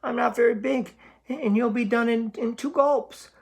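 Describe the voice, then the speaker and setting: A man doing a high, trembling little billy goat. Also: steady tone, normal speed, gravelly, lightly acted. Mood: frightened, stammering. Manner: trembling, stammering, pleading. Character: small, timid, frightened young male billy goats, pleading.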